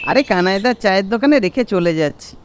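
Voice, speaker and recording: A man's voice: sits high, expressive, fast, background noise. A man with a Bengali accent voicing a bossy, scolding young village friend in a cartoon, with a nagging, exasperated manner. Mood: impatient. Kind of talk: animated cartoon dialogue.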